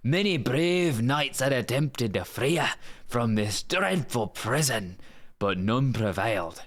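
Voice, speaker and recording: A man playing a Scottish storyteller, narrating a fairy tale in a measured, theatrical way. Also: medium pitch, a bit bright, voice pushed up. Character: full-on Scotsman storyteller, wryly scornful.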